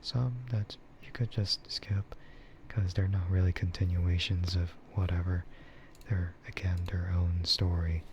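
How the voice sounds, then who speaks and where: Male ASMR streamer: deep, slow, breathy half-whisper, laid-back rambling, slightly muffled recording with faint noise. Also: flat delivery. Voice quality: soft, breathy, often slipping into a whisper. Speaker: streamer and VTuber host. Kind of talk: ASMR casual chat.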